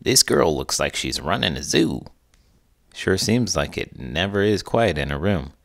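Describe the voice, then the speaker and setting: A man's voice: deep voice, lively intonation, unhurried, vocal fry, a bit bright, dry recording, clean studio sound, heavily acted. A man voicing a folksy old cowboy sidekick who rambles wryly and grumbles in a children's storybook show. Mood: folksy musing.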